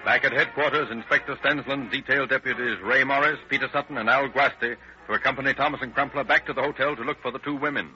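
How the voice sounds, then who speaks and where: Actor as crime-show narrator, rapid official delivery, vintage radio, music underneath, lo-fi. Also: male voice, mid-range voice, fairly even intonation, lightly acted. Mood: brisk, official. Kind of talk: 1940s-style radio scripted narration. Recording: dull old radio, music behind.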